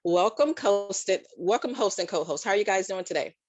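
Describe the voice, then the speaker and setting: A woman's voice: mid-range voice, normal speed, darkish tone, no room sound. An upbeat woman runs an online conference as its emcee. She sounds eager and a bit playful, and her voice is dry and close to the mic. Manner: upbeat, laughing, enthusiastic introductions. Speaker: master of ceremonies.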